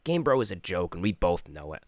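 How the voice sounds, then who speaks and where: Man doing a low sardonic gamer friend. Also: very animated, brisk, no echo, studio quality. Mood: scornful. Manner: condescending, sardonic. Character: sardonic, needling, know-it-all young gamer friend. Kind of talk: comic character dialogue.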